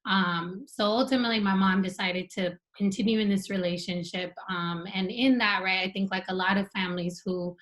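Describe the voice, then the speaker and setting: A young woman from Southern California and the border region, a native Spanish speaker, telling her family story in a steady conversational way as a panel guest. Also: mid-range voice, normal speed, hardly any pauses. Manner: clear, steady, conversational with frequent fillers.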